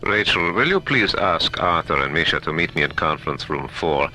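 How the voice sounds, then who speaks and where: A man playing a determined, authoritative research professor in a science-fiction radio drama, with firm, deliberate delivery. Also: rumbling low, lively intonation. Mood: businesslike.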